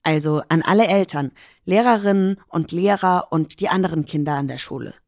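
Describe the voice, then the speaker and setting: A woman narrating a news report in a steady, measured, scripted way. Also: fairly low pitch, no room sound, studio quality.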